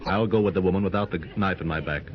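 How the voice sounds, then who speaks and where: An actor playing a steady, heroic lord who speaks calmly, firmly and plainly, in 1930s radio acting on a dull old recording. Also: male voice, deep voice, racing, close to an everyday voice. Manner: calm, firm, plain-spoken. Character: steady heroic jungle lord in evening dress. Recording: dull, faintly hissy 1930s transcription.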